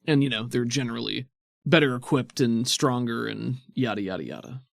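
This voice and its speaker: A man acts as game master for a tabletop game. His voice sits at an ordinary middle pitch. He describes scenes in a conversational way, and the sound is dry and close. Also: a little fast, vocal fry, dry recording. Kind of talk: narrating a tabletop game, casual chat.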